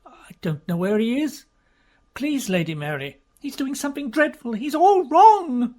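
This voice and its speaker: Woman voicing a flustered polite gentleman. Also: high-pitched, expressive, measured pace, voice pushed up. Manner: hesitant, stammering, polite.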